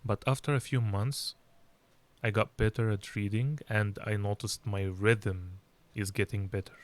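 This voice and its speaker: A young man from Egypt with an Egyptian accent, an Arabic speaker telling his own story of learning English in a measured, reflective way for language learners. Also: on the low side, fairly even intonation. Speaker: English learning channel host.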